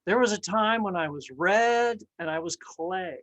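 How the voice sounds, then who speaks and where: A woman voicing a dramatic, indignant talking teacup in a storybook tale, pleading with drawn-out, emphatic exclamations. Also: fairly low pitch, animated, muffled recording. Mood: indignant, dizzy.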